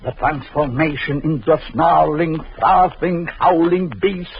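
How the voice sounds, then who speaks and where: An actor playing a cruel, vengeful doctor in an old radio drama, taunting in a slow, sinister way. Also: male voice, a little high, a little hiss or hum. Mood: menacing. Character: vengeful jealous male doctor, gloating and cruel. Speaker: villain. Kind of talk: 1940s radio acting. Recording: muffled old radio recording.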